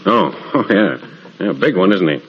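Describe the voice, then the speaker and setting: An actor playing a practical, plain-spoken innkeeper who humors a customer in an old radio drama, casual and deferential. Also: male voice, on the low side, huge pitch swings, normal speed, vocal fry, a little hiss or hum, heavily acted. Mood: offhand. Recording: dull old radio recording.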